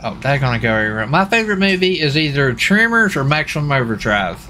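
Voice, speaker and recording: A man's voice: fairly high pitch, very animated, quick pace, sharp tone. A man chatting casually as a game streamer, rambling and repeating himself in a folksy way. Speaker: live streamer playing a role-play game. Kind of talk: casual chat with viewers and players. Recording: clear background noise, vehicle sounds.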